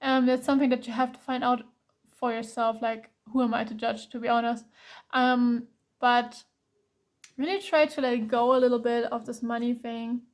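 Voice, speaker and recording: A woman's voice: on the high side, flat delivery, normal speed. A woman with a German accent, German being her first language, giving a blunt, earnest pick-a-card tarot reading full of ums and restarts. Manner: blunt, earnest, calling the viewer out directly; frequent ums and restarts, repeats "to be honest".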